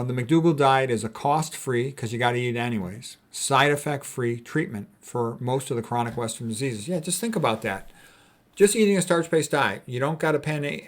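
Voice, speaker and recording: A man reads quotes aloud and comments on them informally as a health educator, in a folksy, emphatic way that repeats key statements. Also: medium pitch.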